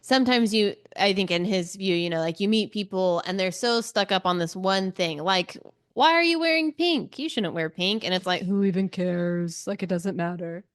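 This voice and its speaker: A woman's voice: medium pitch, normal speed, hardly any pauses. A woman co-hosting a book podcast, chatting casually and expressively, readily slipping into quoted lines. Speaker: book club podcast co-host. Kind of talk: casual book discussion chat.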